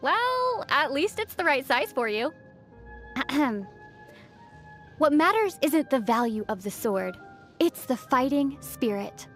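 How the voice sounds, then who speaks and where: Young game heroine, high sweet-tempered voice, wry n playful, bit of music behind. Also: female voice, big pitch swings, slow pace, long pauses, fairly bright tone, heavily acted. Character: young female traveler, gentle, wry, teasing adventurer. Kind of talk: game voice acting. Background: faint background music.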